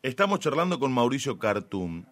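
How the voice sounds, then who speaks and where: A man with an Argentine accent hosting a radio interview in formal, admiring, deliberately phrased speech. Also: mid-range voice, sing-song, a bit bright, no echo. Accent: Argentine Spanish.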